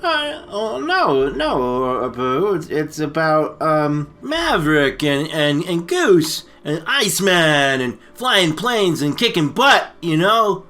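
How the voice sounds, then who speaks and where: A man co-hosting a casual comic movie recap, rambling and halting, full of slang. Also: a little high, big pitch swings, sharp tone, soft background music. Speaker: comedy storytelling co-host.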